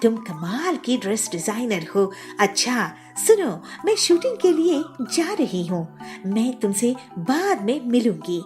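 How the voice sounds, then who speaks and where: Woman as a high-voiced, dramatic cartoon film heroine. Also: big pitch swings, a little slow, a little mellow, faint music behind. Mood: pleased. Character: glamorous, fussy female film heroine bird in a cartoon.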